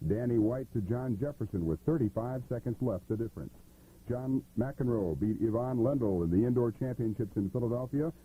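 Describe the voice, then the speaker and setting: A man's voice: mid-range voice, normal speed, warm tone. An American man working as a radio sportscaster, reading scores and stories on a muffled, noisy 1980s radio recording. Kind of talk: scripted sports report.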